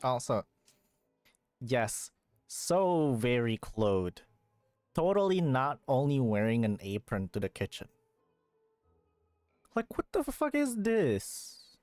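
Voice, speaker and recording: Rambling woman streamer with animated pitch. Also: slow, pauses often, no room sound. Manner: rambling, swearing, incredulous reactions. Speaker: livestreamer playing a video game. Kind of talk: casual live chat and commentary. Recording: game music behind the voice.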